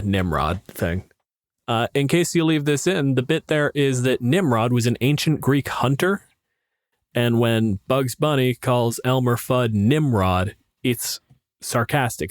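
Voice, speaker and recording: A man's voice: mid-range voice, no room sound, clean studio sound. A man co-hosting a podcast in casual chat, explaining things in a wry, sweary way. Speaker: podcast co-host and gamemaster.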